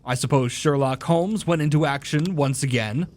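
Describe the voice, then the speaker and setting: A man's voice: on the high side, moderate pace, darkish tone, lightly acted. An actor playing a genial male radio announcer who hosts an audio theatre show and prompts its storyteller. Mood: upbeat, curious. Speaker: announcer and host. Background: music.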